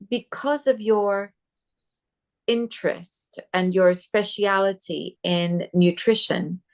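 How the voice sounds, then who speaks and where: A woman hosts an interview and puts her questions at an unhurried pace. She speaks politely and in a measured way. Small hesitant fillers break up her phrasing. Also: medium pitch. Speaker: interview host. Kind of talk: interview hosting.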